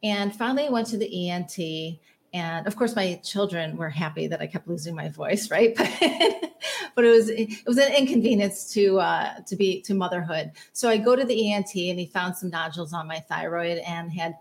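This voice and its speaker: A woman in her forties tells her own story as an interview guest. She is open and talkative. Her voice sits a little low. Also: normal speed. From North Shore of Chicago. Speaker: health coach guest. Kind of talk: casual interview.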